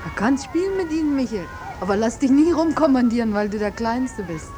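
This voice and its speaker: An actress playing an earnest, plain-spoken young peasant maid in a 1950s German radio drama. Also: female voice, on the high side, lively intonation, fairly quick, warm tone, lightly acted. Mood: motherly, firm. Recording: faintly hissy, distant 1950s radio recording.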